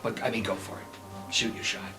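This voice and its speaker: A man's voice: moderate pace, over music. A man speaking in character at a tabletop game, hesitant and full of fillers. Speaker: player. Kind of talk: casual in-character roleplay.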